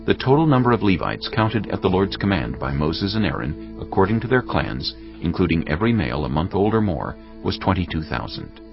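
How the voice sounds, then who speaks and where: A man with a gravelly voice narrating scripture from a script in a solemn, measured, formal manner. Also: sits low, big pitch swings. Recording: slightly muffled, music bed behind.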